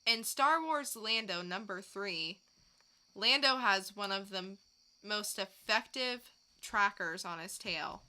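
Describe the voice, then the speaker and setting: Very bright-voiced woman co-hosting a podcast. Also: mid-range voice, expressive, slow pace. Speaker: podcast co-host. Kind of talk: scripted read-out of teasers.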